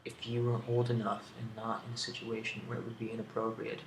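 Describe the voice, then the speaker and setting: A man hosting a casual ASMR question-and-answer video, speaking in a halting, rambling, hesitant way on an amateur home recording. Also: on the low side, monotone, reverberant, noisy background. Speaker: video host.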